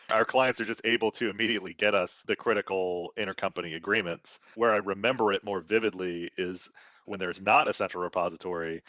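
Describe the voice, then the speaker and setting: A man giving conversational expert commentary as a tax advisor on a business podcast, explaining in a measured way. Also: low-pitched, sharp tone, dry recording, dull audio.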